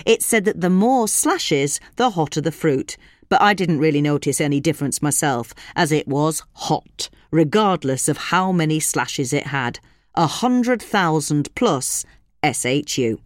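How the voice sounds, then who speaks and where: A woman's voice: low voice, lively intonation, unhurried, no room sound, studio quality. Woman reading aloud with very varied intonation. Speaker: reader of a gardening article. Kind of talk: scripted narration, read aloud.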